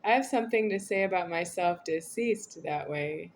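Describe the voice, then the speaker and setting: A woman's voice: lowish voice, normal speed, slight background noise. A woman talking to camera in a meandering, repetitive, earnest monologue. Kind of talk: rambling spoken monologue to camera.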